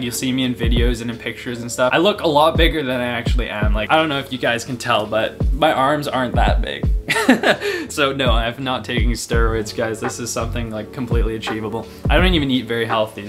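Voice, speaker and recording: Upbeat chummy young man vlogging. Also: mid-range voice. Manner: upbeat and chummy, keeps calling viewers guys and boys, laughs often. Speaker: men's fashion and fitness YouTuber. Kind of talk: casual Q&A vlog. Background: music.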